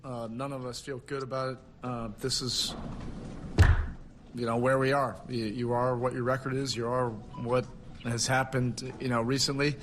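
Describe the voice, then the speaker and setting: A man giving press conference remarks, halting and hesitant, with frequent fillers and pauses. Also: mid-range voice, level tone, a bit bright, soft background music.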